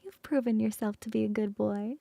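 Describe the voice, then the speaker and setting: A woman voicing a flirty female coworker in an ASMR roleplay, close to the mic in a soft, playful whisper. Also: mid-range voice, steady tone, fairly quick, creaky, dry recording, close to an everyday voice. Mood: teasing whisper.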